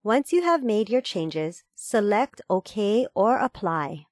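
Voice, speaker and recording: A woman reading a scripted instructional voice-over in a measured, formal, step-by-step manner. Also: mid-range voice, big pitch swings, a little mellow, dry recording. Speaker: tutorial narrator.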